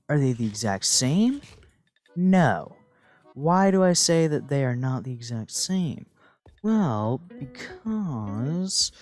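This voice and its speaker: A teenage boy chats on in a loose, rambling way, tossing in goofy asides. His pitch swings widely up and down as he talks. Faint music plays softly underneath. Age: teens. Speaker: gaming channel host. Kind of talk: casual rambling monologue.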